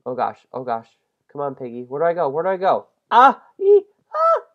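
Congested young male game host, animated, self-deprecating, prone to yelps. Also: fairly high pitch, moderate pace, lo-fi sound. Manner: excitable, self-deprecating, shrieks at mistakes. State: congested from a head cold. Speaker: gaming channel host. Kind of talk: casual Minecraft let's-play commentary.

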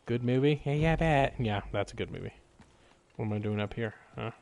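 A man's voice: medium pitch, brisk, vocal fry, a little mellow. A man doing casual live gameplay commentary, exclaiming, swearing and muttering jokey remarks to himself. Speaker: video game live streamer.

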